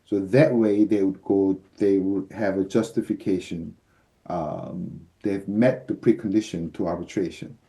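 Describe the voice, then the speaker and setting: A man's voice: sits low, fairly even intonation, unhurried, dark tone, low-quality recording. A man in his fifties from the United States, a guest arbitrator explaining his field over a video call from an office, with frequent fillers. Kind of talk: explanatory interview answers. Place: office with shelves, indoors. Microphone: video call webcam.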